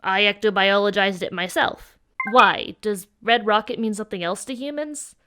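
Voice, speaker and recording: A woman's voice: medium pitch, normal speed, bright, forceful tone, faint music behind, natural voice. A woman playing a smug, teasing alien troll prankster in an audio drama, mocking and condescending. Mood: defensive, smug.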